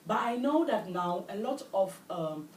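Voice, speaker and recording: A woman's voice: medium pitch, expressive, a little slow, far from the mic. A woman talking emphatically in an opinionated panel chat. She sits a little back from the mic in an echoey room, with faint noise. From Africa. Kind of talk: opinionated casual discussion.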